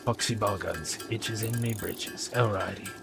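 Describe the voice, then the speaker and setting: A man's voice: lowish voice, measured pace, creaky, music in the background. A male game streamer chatting casually on a live stream, playful and rambling, with a self-mocking streak.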